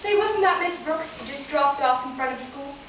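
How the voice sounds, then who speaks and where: A woman's voice: high voice, lively intonation, moderate pace, fairly bright tone, highs cut off. A woman playing a perky, gushing teenage schoolgirl in old-time radio comedy style. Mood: cheerful excited. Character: gushing, wholesome teenage schoolgirl, principal's daughter. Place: live stage performance in a reverberant room. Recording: echoey distant stage recording, faint noise.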